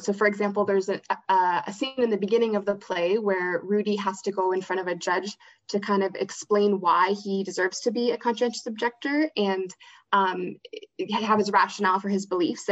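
A woman's voice: medium pitch, creaky. A woman explaining things as an interview guest, on a dull, lo-fi recording. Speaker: interview guest, theatre education manager and actor. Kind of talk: interview conversation.

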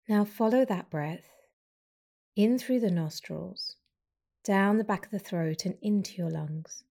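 A woman guiding a breathing meditation with slow, soft, soothing instructions and long pauses. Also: mid-range voice, creaky. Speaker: meditation guide.

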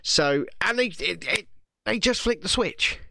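A man's voice: high-pitched, lively intonation, measured pace, vocal fry, bright, forceful tone, dry recording, clean studio sound. A man from England chatting casually, swearing and ranting, laughing as he talks. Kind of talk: casual chat.

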